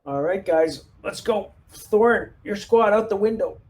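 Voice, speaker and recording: A man's voice: high-pitched, a little slow. A man playing a tabletop roleplaying game, chatting casually and giving orders in character in his everyday voice.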